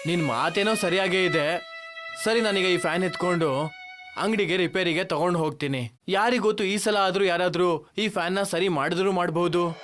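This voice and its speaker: High-pitched village man, voiced by an actor, earnest, aggrieved, cartoon story dialogue, music in back. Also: moderate pace, fairly bright tone. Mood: resigned. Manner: plaintive, earnest. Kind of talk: animated moral story dialogue.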